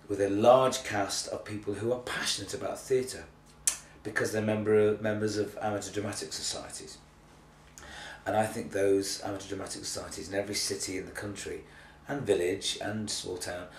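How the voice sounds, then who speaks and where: A tired man with a cold and a slightly croaky voice, chatting hesitantly and self-deprecatingly in an unscripted video blog. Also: on the low side. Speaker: theatre artistic director giving a monthly video update. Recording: echoey, distant mic, faint noise.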